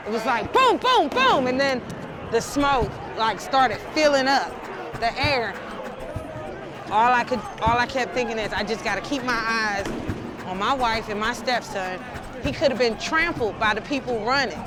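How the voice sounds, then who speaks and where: A man's voice: extremely high pitch, expressive, moderate pace, a crowd in the background. A man speaks with emotional intensity as he recounts events. He is being interviewed outdoors on a city street. Music sits under his voice. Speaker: protester. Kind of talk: interview testimony.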